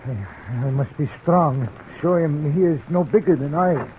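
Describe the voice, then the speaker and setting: An actor playing a proud, wronged middle-aged father in an old radio drama, speaking in a halting, solemn and impassioned way. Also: fairly high pitch, a little mellow, natural voice. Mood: brooding, wounded. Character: anguished, wronged immigrant father, proud art forger. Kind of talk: 1950s radio drama acting. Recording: dull, noisy old radio broadcast.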